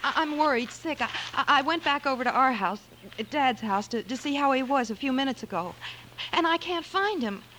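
A woman's voice: expressive, normal speed. A woman playing an anxious, spirited young frontier woman in an old radio Western drama. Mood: worried. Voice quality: high, bright voice. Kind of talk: 1950s radio Western acting. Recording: faintly noisy old-time radio recording.

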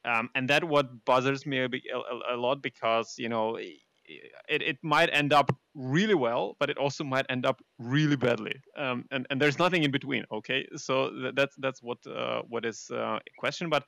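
Gravelly, bright-voiced man hosting a discussion. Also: medium pitch, normal speed, dry recording. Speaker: discussion host. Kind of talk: casual panel discussion.

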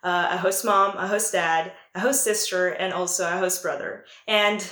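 A woman's voice: fairly low pitch, fairly even intonation, moderate pace, fairly bright tone, reverberant. A young woman from Italy with an Italian accent tinged American, answering interview questions casually with plenty of fillers. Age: young adult. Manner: chatty, many fillers like "um" and "like". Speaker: guest, former exchange student.

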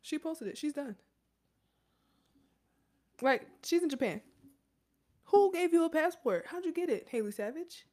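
Animated woman chatting casually. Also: high-pitched, measured pace, long pauses. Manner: animated, jokey, laughs often. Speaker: podcast co-host. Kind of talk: casual chat.

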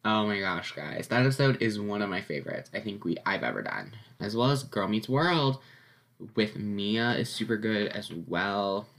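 A man's voice: fairly low pitch, animated, moderate pace, a bit bright. A teenage boy hosting his own podcast, chatting casually and upbeat with lots of ums and little laughs, sounding a bit congested and sniffly. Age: teens. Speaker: podcast host. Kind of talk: casual solo podcast recap.

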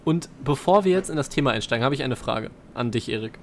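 A man's voice: medium pitch, lively intonation, quick pace, a little hiss or hum. A young man, co-hosting a podcast in casual chat. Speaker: podcast co-host.

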